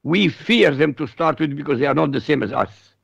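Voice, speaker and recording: Iraqi-born man, Arabic first language, blunt radio guest, very gravelly voice, dull dry sound. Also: on the high side, lively intonation, fairly quick, a bit bright, dry recording. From Iraq. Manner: blunt and emphatic. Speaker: guest commentator on foreign affairs. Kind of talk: opinionated radio talk.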